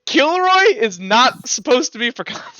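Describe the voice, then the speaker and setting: A man's voice: extremely high pitch, very animated, sharp tone, no room sound. A young man running a tabletop game as game master, chatty and stumbling, with lots of fillers. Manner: chatty, stumbling, quick asides with frequent fillers. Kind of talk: casual tabletop game chat.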